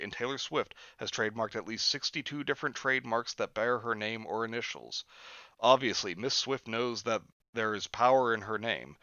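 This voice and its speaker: A man reads from a book on a live stream, mocking it with dry sarcastic comments between passages. His voice is bright and crisp in a dry, close space. The audio is a little dull and lo-fi. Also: mid-range voice, no room sound. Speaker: host reading a book aloud.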